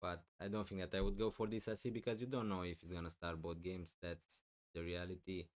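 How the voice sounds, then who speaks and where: Man, live streamer, low voice, smooth and clear, loud with lots of fillers, voice wearing thin. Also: level tone, fairly quick. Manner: loud, thinking aloud with many fillers. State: losing his voice, clearing throat. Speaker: fantasy football streamer. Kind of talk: live stream answering chat questions.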